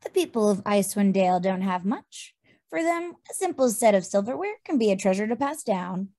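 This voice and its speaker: A woman voicing a good-natured, wordy gnome bard in an animated fantasy table read, with a theatrical manner. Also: medium pitch, a little fast, no room sound, studio quality. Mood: earnest. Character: stout thirty-five-year-old gnome bard, good-natured and wordy.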